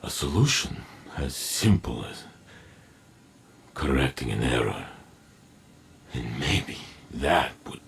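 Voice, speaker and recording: Very deep, mournful machine warrior. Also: male voice, very animated, creaky, husky, exaggerated voice. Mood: despairing. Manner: slow, halting, solemn and formal. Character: gentle, melancholy war machine man doubting his own worth. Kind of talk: scripted video game voice acting.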